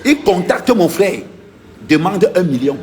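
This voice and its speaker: A man's voice: sits high, big pitch swings, measured pace, a little mellow. A man, an activist vlogger giving an insistent, emphatic first-person account and repeating his key points.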